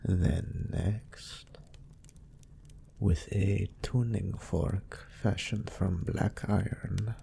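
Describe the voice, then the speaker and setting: A man playing a smug, lustful demon incubus in an audio roleplay, musing languidly and sardonically in a gravelly, breathy voice. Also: low voice, dark tone, a little hiss or hum, heavily acted. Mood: focused, murmuring. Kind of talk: scripted character monologue in audio roleplay.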